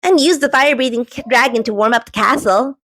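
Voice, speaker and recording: A woman's voice: sits high, quick pace, fairly bright tone, dry recording. Woman doing a bubbly little girl. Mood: earnest. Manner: eager, bubbly. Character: excitable young girl who loves pink.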